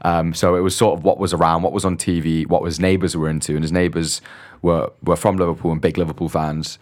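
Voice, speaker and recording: A man's voice: low voice, little pitch movement, fast talker, darkish tone. A young man, a podcast guest from Dubai and London, talking casually and hesitantly with many restarts. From grew up mostly in Dubai, born in London. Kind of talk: casual interview chat.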